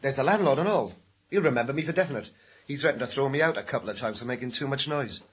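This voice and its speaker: An actor in a radio crime drama playing a defiant, cagey, middle-aged ex-convict, slangy and defensive. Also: male voice, medium pitch, fast talker, a little hiss or hum, close to an everyday voice. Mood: cagey, matter-of-fact. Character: defiant, cagey male ex-convict, street-hardened armed robber.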